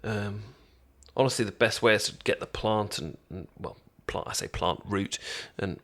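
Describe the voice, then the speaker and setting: A British man playing a caring, chatty best friend in an intimate ASMR roleplay, talking casually with halting, stammering explanations. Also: fairly low pitch, fairly bright tone. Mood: relaxed, instructive. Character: caring, chatty male best friend teaching sushi making.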